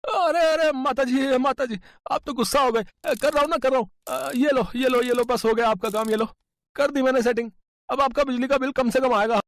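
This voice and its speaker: Guy doing a greedy young electrician, wheedly and bargaining, super high squeaky voice, dry. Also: steady tone, brisk, dry recording. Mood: placating. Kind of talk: storybook cartoon voice acting.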